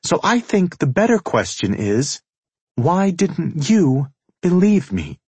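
A man's voice: a little high, sing-song, slow pace, darkish tone, breathy edge, pitched above the performer's own voice, full character voice. A man voicing a proud, wounded young woman in an audiobook, bitter and defiant, with cutting emphasis. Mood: accusing, defiant. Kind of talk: audiobook character dialogue.

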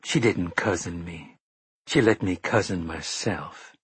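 A grim, guarded woman speaks in a low, husky voice, voiced by a male audiobook narrator. She sounds determined and keeps her thoughts to herself. The recording is dry. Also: animated, measured pace, fairly bright tone, dry recording, exaggerated voice.

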